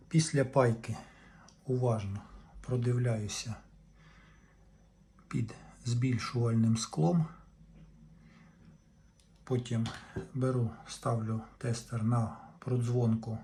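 Mellow-voiced man giving instructions. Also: mid-range voice, gravelly, a little hiss or hum. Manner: methodical, explains in short, piecemeal phrases. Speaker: repair tutorial host. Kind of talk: unscripted step-by-step hands-on instruction.

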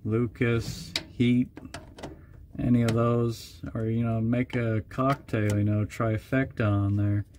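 A man's voice: mid-range voice, slow. A man chatting casually and joking as he works under a van on a repair. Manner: relaxed, joking, talks while wrenching. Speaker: channel co-host doing a repair. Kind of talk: casual chat while working. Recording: noisy work sounds and clicks.

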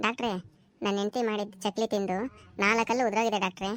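A woman's voice: mid-range voice, little pitch movement, quick pace, lightly acted. A woman voicing a henpecked husband complaining to a doctor in a comic cartoon skit. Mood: plaintive.

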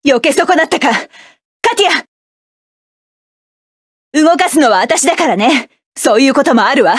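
Proud hardened mech pilot woman, high voice, clipped barks, dry studio sound. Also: expressive, moderate pace, no room sound. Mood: battle-ready. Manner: sharp, self-assured, clipped combat barks. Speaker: game character. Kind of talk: game battle voice lines.

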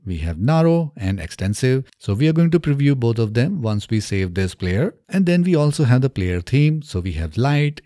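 A man in his thirties narrating a step-by-step software tutorial in a methodical, instructional way, close on a studio microphone. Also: fairly low pitch, dry recording. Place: small indoor home studio. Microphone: close studio microphone on boom arm.

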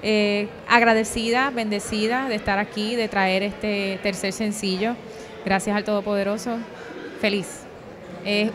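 A young woman with a Puerto Rican accent giving an earnest, hesitant personal testimony in an interview, on a handheld microphone. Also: mid-range voice. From Puerto Rico. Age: young adult. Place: convention booth. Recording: crowd chatter at event.

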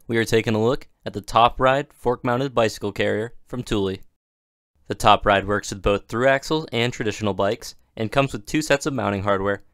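A man giving scripted, even-toned narration for a product installation video, with steady instructional delivery. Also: fairly low pitch, no room sound, clean studio sound.

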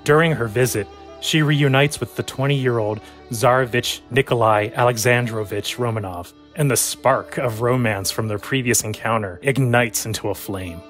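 A man's voice: medium pitch, normal speed, a bit bright, faint music behind. A man narrating an audio drama from a script in a formal storytelling style.

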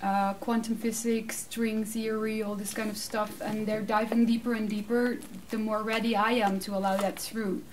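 Woman, 30s, native Dutch speaker, animated casual answers, distant mic, light background noise. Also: medium pitch, normal speed, few pauses. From Netherlands. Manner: animated, laughs often, talks with her hands. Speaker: speaker answering audience questions. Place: small room with curtained backdrop, seated.

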